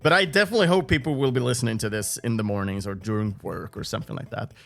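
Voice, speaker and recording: A man's voice: fairly low pitch, very fast, vocal fry, fairly bright tone. A man hosting a video game music podcast over a remote call, chatting casually, laughing often and restarting his sentences. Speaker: podcast host. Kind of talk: casual interview chat.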